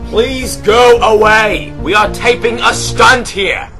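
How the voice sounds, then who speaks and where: Man acting a brash, high-pitched extreme sports host. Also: slow, music in the background. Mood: exasperated. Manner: brash, hotheaded, slangy. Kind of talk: comedic audio play acting.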